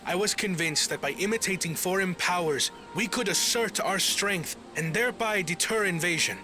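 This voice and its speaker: Solemn young prince voiced by a man, slightly high. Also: over music, lightly acted. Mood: solemn, regretful. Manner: formal, measured oratory. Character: solemn, scholarly young male prince, humbled and dutiful. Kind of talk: video game cutscene voice acting.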